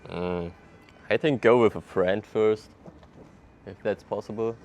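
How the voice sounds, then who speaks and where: A man's voice: on the low side, a little slow, dull audio. A young man with a German accent answering interview questions in English in an easygoing way, laughing often. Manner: relaxed, laughs often, loose non-native phrasing. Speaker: interviewee, traveler. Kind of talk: casual street interview. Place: outdoors in a city.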